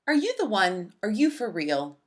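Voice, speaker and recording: A woman's voice: mid-range voice, big pitch swings, normal speed, close to an everyday voice. A woman voicing an earnest, inquisitive child detective in a storybook, asking eager, probing questions.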